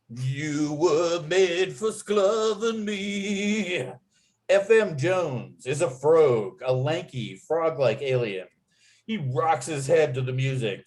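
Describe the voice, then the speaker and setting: A man reading stage directions as narrator at a script table read, in vivid storytelling style. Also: mid-range voice.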